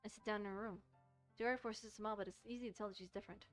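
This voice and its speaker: A woman streamer reading a visual novel aloud and commenting on it, haltingly, often repeating and rereading phrases. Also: mid-range voice, fairly even intonation. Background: soft music.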